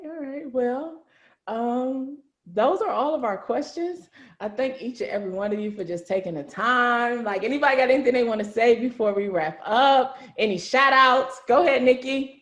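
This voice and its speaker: A woman's voice: fairly high pitch, expressive, moderate pace, fairly bright tone. A woman hosting an informal group chat on a video call, telling stories casually and often checking in with listeners. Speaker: host and series writer. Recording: roomy echo over video call.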